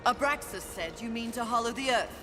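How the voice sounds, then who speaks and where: A woman's voice: a little high, animated, a little fast, music in the background, natural voice. An actress playing a proud, fierce female warrior in a video game, demanding and theatrical in her delivery. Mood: suspicious, scornful. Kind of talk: dramatic video game acting. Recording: long reverb, noisy ambience behind.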